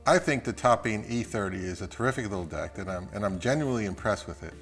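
A man's voice: fairly low pitch, lively intonation, fast talker, fairly bright tone. Middle-aged man reviewing over music. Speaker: audio gear reviewer. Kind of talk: narrated product review.

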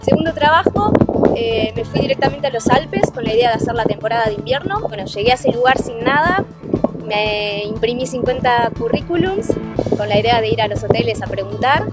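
A cheerful woman talks into her phone outdoors. Her voice sits a little high, and loud music plays beneath. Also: expressive, a little fast. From Argentina. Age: 30s. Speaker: working holiday traveler. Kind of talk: casual testimonial to camera. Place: outdoors at a harbor.

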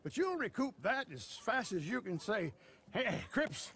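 A man playing a grizzled old American frontier trader in a video game, folksy and rambling like a salesman, with a gravelly voice. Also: high voice, big pitch swings, unhurried, a bit bright, a little hiss or hum, full character voice. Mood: eager, folksy. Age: older. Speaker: game character actor. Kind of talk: video game cutscene acting.

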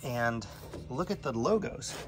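A man's voice: mid-range voice, lively intonation, a little slow, over loud music. A man giving a casual, plain-spoken product review, pointing out defects while filming with a handheld phone on an outdoor deck. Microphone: phone camera in hand.

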